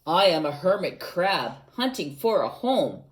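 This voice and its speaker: A woman reading a picture book aloud to young children, gently asking them questions and adding playful sound effects. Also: fairly low pitch, very animated, measured pace. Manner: gentle, coaxing, asks the young listeners questions.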